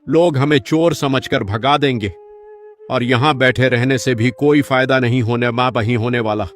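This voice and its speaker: Humble, God-trusting elderly uncle played by a man, animated folk tale; earnest, mid-pitched, music bed. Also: moderate pace, close to an everyday voice. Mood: shaken, resigned. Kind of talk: Hindi animated moral-story dialogue. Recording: music behind the voice.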